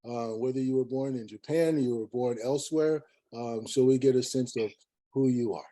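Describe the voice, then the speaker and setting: A man moderating a webinar, speaking in a halting, measured way as he hosts without a script. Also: medium pitch, mellow. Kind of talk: unscripted hosting.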